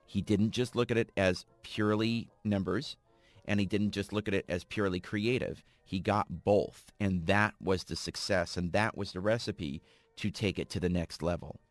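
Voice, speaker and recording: Man, expert interviewee, emphatic commentary, fairly low voice, dry close recording. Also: fairly even intonation, moderate pace, no room sound. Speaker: radio creative director, expert interviewee.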